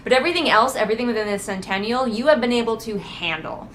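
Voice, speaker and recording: A woman's voice: a little high, fast, fairly bright tone. A woman giving casual, sarcastic book commentary with exasperated, mocking asides. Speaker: book critic hosting a readalong. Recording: noticeable background noise, train outside. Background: ambient noise, passing train at times.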